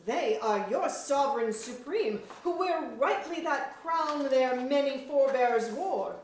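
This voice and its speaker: A woman's voice: sits high, lively intonation, measured pace, a little mellow, slight background noise. A woman playing a proud, witty, scolding Lord Chamberlain in a staged reading of mock-Shakespearean drama, with emphatic, formal archaic speech. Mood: stern, lecturing. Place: museum auditorium. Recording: echoey auditorium, distant mic.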